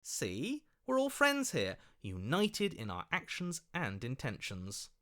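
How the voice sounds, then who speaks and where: A man's voice: mid-range voice, huge pitch swings, slow, bright, forceful tone, full character voice. A man playing a fickle, self-serving opportunist in a video game, with an ingratiating, wheedling manner. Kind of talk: video game character acting.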